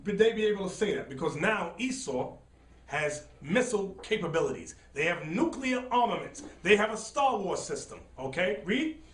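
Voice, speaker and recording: A man preaching and teaching from scripture in a fiery style, shouting and repeating phrases as he directs a reader. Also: sits high, very animated, moderate pace, recorded from a distance. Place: studio set with dark backdrop. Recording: roomy sound from off-mic.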